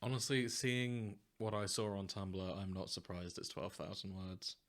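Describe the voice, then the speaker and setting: A man's voice: sits low, little pitch movement, a little slow, a bit bright. A man co-hosting a podcast, chatting casually with friends in a teasing, jokey way. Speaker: podcast co-host. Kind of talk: casual chat among friends.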